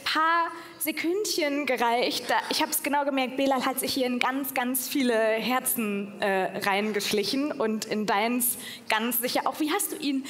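A woman's voice: high voice, a little slow, long reverb tail. A woman hosting an awards event live, chatty and encouraging with winners. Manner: chatty, encouraging, laughs often. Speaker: awards show host. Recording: loud crowd and noise at a live event.

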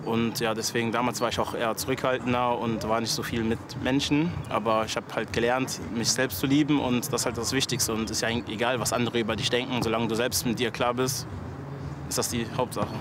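A young man in his twenties speaks casually and loosely in an outdoor interview, filling his talk with little fillers. Clear traffic and street noise sit behind his voice. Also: mid-range voice. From Germany. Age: 20s. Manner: loose and chatty, many fillers. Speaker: event agency founder. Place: outdoors at a stadium.

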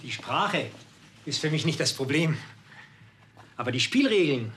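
An actor playing a coolly condescending police superior who speaks in a dry, cutting way. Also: male voice, on the high side, lively intonation, unhurried, a bit bright, slight background noise. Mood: sarcastic.

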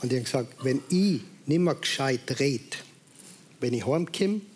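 A man's voice: medium pitch, expressive, moderate pace, a little mellow, husky. A middle-aged man from South Tyrol with a South Tyrolean accent, a talk show guest speaking earnestly and plainly. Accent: South Tyrolean German. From South Tyrol, Italy.

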